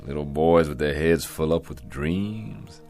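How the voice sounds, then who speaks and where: An actor playing a weary, washed-up American boxer, gentle but proud and disillusioned, in a radio drama. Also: male voice, very deep voice, measured pace, faint music behind. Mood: weary, bitter.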